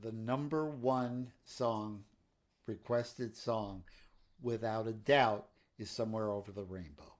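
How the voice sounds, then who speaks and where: A man's voice: fairly low pitch, fairly even intonation, takes it slowly. Middle-aged guy from new york, jokey podcast co-host, likes to hum tunes. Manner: jokey, playful, breaks into humming. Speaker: podcast co-host, pianist and songwriter. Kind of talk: casual podcast chat.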